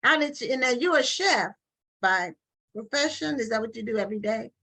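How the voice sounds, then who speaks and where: A woman's voice: on the high side, animated, brisk, pushed and bright, breathy edge. A woman hosting a live online book show, chatty and loose with restarts and fillers, talking over a video call. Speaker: talk show host.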